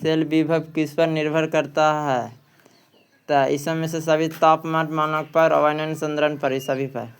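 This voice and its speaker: A man's voice: a little high, level tone, a little slow, smooth. A man reads out practice questions and answers as an exam coaching teacher, repeating phrases for emphasis.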